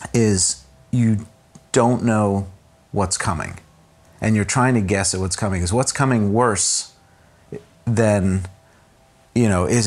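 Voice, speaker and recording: A man telling the story of his own kidnapping in a conversation, halting and repeating himself with many fillers as he thinks aloud. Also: lowish voice, husky. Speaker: interview guest recounting a kidnapping.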